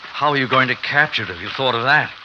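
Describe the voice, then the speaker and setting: A clearly noisy old radio recording carries a stern, worried man, a scientist's loyal friend. His pitch is middling and his delivery grave and admonishing, in fifties radio drama manner. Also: lively intonation, a little fast, bright, forceful tone, lo-fi sound. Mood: grave, uneasy. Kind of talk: 1950s radio drama acting.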